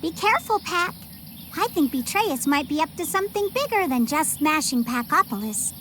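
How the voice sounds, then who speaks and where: A woman voicing a caring, loyal young girl, the hero's friend, in an animated video game cutscene. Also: high voice, moderate pace, slight background noise. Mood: concerned.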